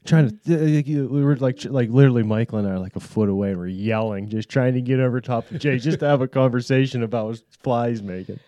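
A man who is a regular on a casual podcast, talking in an animated, emphatic way and reading the sponsor list. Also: medium pitch, fast, hardly any pauses, no echo. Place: small podcast studio around a table.

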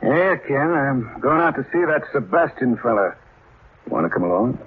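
An actor playing a gruff, folksy, older small-town sheriff with plain rural speech in an old-time radio drama. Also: male voice, medium pitch, very animated, normal speed. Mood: gruff, determined. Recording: noisy, narrow-band 1940s-50s radio recording.

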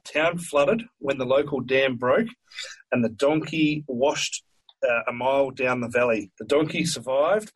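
Slightly rough-voiced man reading trivia. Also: medium pitch, rough recording. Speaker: co-host. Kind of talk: reading out light trivia, with brief chat.

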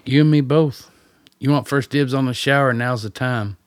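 An actor playing a gruff, wry rural guide in a radio play, talking in a blunt, folksy, plain-spoken way. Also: male voice, medium pitch, normal speed, no echo, studio quality, lightly acted.

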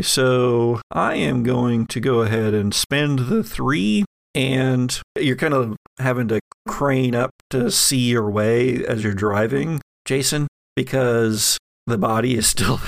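Creaky-voiced man running a tabletop horror game. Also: mid-range voice, unhurried, dry recording. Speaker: game master.

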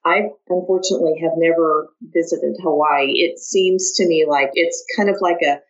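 A woman's voice: low-pitched, fairly even intonation, normal speed, muffled recording. A woman from the American Midwest with an American accent, hosting a conversational podcast interview. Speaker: podcast host.

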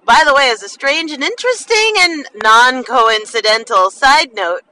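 A woman hosting a podcast in a casual, expressive monologue, with a slight lisp and slurred enunciation from a half-numb mouth. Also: on the high side. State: half the mouth numb after dental work. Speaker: podcast host. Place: inside a car while driving. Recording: distant mic, faint vehicle noise. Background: faint car driving noise.

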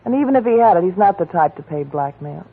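A woman's voice: on the low side, expressive, fast, slightly rough, phone-quality sound. An actress playing a glamorous woman who pleads with her old flame, a detective, in a 1940s radio drama, with a coaxing, melodramatic delivery. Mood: pleading. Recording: scratchy 1940s radio broadcast.

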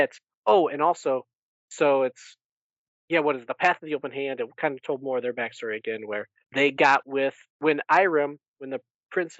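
A man hosts a casual book discussion in a mid-pitched voice. He rambles enthusiastically and slips into little acted-out asides as he retells. The sound is dry and a bit dull. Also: a bit bright, no room sound. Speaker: podcast host.

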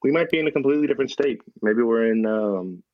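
A man's voice: mid-range voice, expressive, quick pace, no room sound, highs cut off. A man co-hosting a podcast remotely through a headset, chatting casually and teasing in a joking way. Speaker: podcast co-host. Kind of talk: casual comedic chat. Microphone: failing wireless headphones on remote call.

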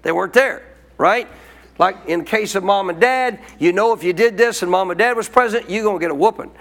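A man with a high-pitched voice preaching a sermon. He teaches as a pastor in a loose, colloquial way. He keeps prompting the congregation to answer back. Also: fairly quick, a bit bright. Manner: call-and-response preaching, colloquial.

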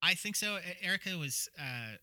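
A man as a podcast guest, telling casual stories in a rambling, halting way. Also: sits high, lively intonation, bright, forceful tone, no echo, clean studio sound. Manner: rambling, halting, heavy use of "like". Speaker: podcast guest, former bar worker. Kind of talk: casual anecdotal chat.

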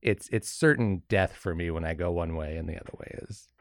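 Low-voiced man, slightly hoarse, close dry sound. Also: a little fast, no echo. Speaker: podcast co-host. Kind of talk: casual chat. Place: studio.

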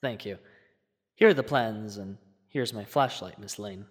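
A young man playing an outwardly polite but sinister villain in a classic radio drama, courteous and deliberate, recorded with long reverb. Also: on the low side. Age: young adult.

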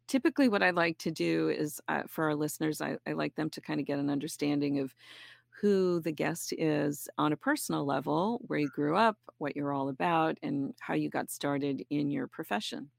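A woman's voice: deep voice, moderate pace, creaky, dry recording, studio quality. A woman hosting a podcast, reading an upbeat introduction and then chatting with her guest effusively. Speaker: podcast host. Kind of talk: scripted intro, then casual interview chat.

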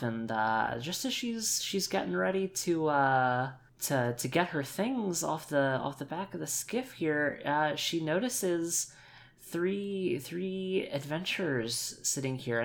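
A woman with a very deep voice narrates a tabletop game. She speaks slowly and hesitantly, circling back over phrases as she works out what to say. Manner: hesitant, thinking aloud, often repeats phrases. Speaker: player in an actual play tabletop game.

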